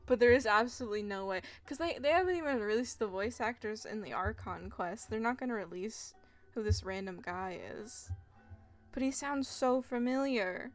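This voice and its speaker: High-voiced woman streamer, giggly and rambling, game music under her. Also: moderate pace. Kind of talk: live gameplay commentary.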